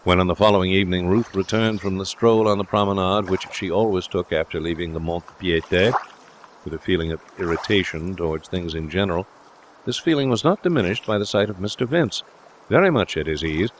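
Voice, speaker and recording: A man's voice: deep voice, brisk, a little hiss or hum. A man reading literary fiction aloud as an audiobook narrator, in a scripted storytelling style.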